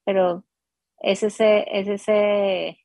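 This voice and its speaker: A woman hosting a radio interview, speaking courteously and slowly, often repeating words as she asks questions. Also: mid-range voice, level tone, darkish tone, no room sound. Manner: slow, courteous, repeating herself. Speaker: radio host.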